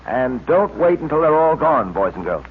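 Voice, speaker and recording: An actor playing a calm, heroic American police detective in an earnest, steady 1940s radio serial style. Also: male voice, a little high, moderate pace. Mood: earnest, enthusiastic. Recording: scratchy old-time radio recording, narrow band, hiss.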